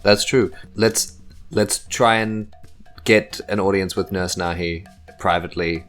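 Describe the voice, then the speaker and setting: A man's voice: low voice, steady tone, measured pace, fairly bright tone, soft background music. A man with a New Zealand accent bantering casually as a player in a tabletop game.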